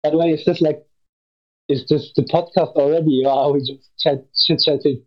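A man's voice: a little high, fairly even intonation, normal speed. A twenty-year-old man guesting on a podcast call, blunt and teasing. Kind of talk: casual chat. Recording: dull lo-fi mic.

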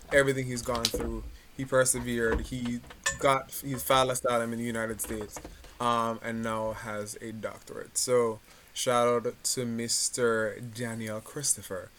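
A man co-hosting a podcast, animatedly retelling a news story with many pauses. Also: medium pitch, fairly bright tone, a little hiss or hum. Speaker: podcast co-host. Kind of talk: casual chat, recounting news.

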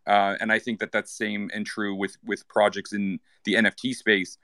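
A man from Canada with a slightly low voice talks through his thoughts in loose, rambling chat. He restarts phrases often and leans on fillers, and the sound is close and dry. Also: level tone, moderate pace, pushed and bright, no room sound. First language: English. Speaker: guest. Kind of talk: casual chat in an online audio space.

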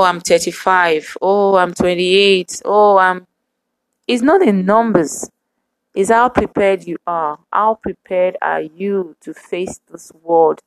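A woman preaching a sermon to women, speaking in measured, emphatic repetitions. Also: lowish voice, dry recording, poor audio.

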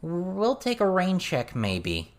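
Guy doing teen girl voice, pitched up, careful n polite, fantasy audiobook. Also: wildly swooping pitch, slow, heavily acted. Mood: wary.

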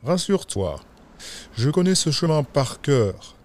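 A man's voice: big pitch swings, darkish tone. A man voicing a big, sly, boastful wolf in a children's fairy tale, with a smug, scheming drawl. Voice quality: deep voice in the story, slow deliberate delivery.

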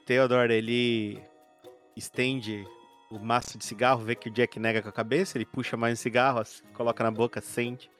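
A man's voice: mid-range voice, flat delivery, normal speed, fairly bright tone, soft background music. Man narrating his tabletop character's moves. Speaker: tabletop game player. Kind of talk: improvised narration of a roleplay game.

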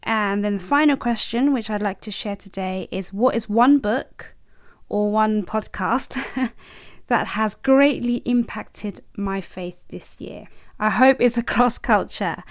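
A woman hosting a podcast, who speaks gently and encouragingly and laughs easily while she guides a reflection and an interview. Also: mid-range voice, measured pace, dry recording, clean studio sound. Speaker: podcast host.

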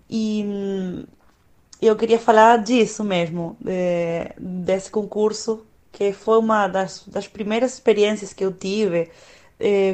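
A woman in her thirties whose first language is Spanish speaks in a middle-pitched voice. She talks through a casual conversation in an unhurried, thoughtful way, often stopping to search for the right word. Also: a little mellow. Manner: reflective, unhurried, often pausing to find words. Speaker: opera singer, guest.

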